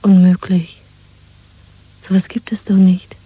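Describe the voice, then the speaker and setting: A very breathy, dark and soft female voice as a secretly defiant lover in a 1970s radio drama. She is intimate and pragmatic, with a mocking streak, often close to a whisper. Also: lowish voice, flat delivery, slow pace, dry recording, heavily acted, poor audio. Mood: disbelieving. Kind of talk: 1970s German radio play acting.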